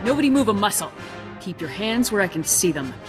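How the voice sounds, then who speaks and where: An actress voices a confident female trooper in a video game cutscene. She speaks fast and firmly, with a no-nonsense directness. Music plays clearly in the background. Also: medium pitch, big pitch swings, close to an everyday voice. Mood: commanding. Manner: brisk, firm, direct. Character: confident, no-nonsense female soldier, young officer.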